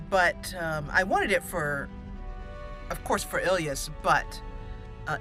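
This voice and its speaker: A woman's voice: fairly low pitch, sharp tone. A woman hosting a gaming channel, giving casual, chatty gameplay commentary and explaining as she goes.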